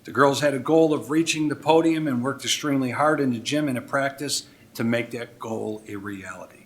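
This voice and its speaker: A man's voice: medium pitch, fairly quick. A man in his sixties with an American accent giving a public recognition speech as a mayor, partly reading, with informal, folksy asides. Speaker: mayor presiding over a village board meeting. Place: indoor village board meeting room.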